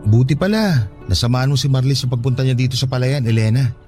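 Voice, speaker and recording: A man's voice: mid-range voice, fast talker, a little mellow, soft background music, natural voice. A man playing a hardworking, protective older brother who works on a farm, speaking earnestly in a radio drama. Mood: casual, friendly. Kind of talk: Tagalog radio drama dialogue.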